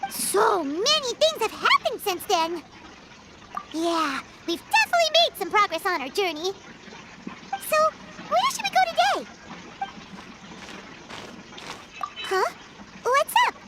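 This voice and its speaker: A woman voicing a tiny, childlike and excitable fairy companion with a squeaky voice in a video game. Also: measured pace, pauses often, heavy background noise, full character voice. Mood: curious. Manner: speaks of self in third person, exclaiming. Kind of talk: English video game voice acting. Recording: game music behind the voice.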